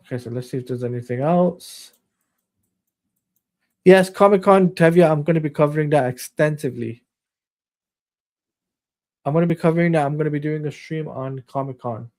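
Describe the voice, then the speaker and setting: Fairly high-voiced man losing his voice. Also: normal speed, pauses often, a little mellow. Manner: rambling, reads news items aloud. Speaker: stream host. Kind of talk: casual live-stream chat.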